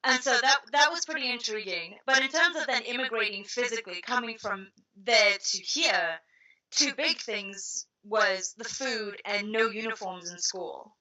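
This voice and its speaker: Very bright-voiced young woman from South Africa. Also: a little high, moderate pace, rough recording. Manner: lively storytelling, laughs easily. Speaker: guest, media academic. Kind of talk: casual interview chat.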